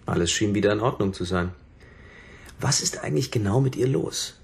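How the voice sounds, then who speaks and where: A low-voiced, defensive husband. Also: male voice, animated, a little fast, vocal fry. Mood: wary, defensive. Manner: curt, indignant, suspicious. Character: defensive, irritable husband of a troubled woman. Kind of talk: German radio drama acting.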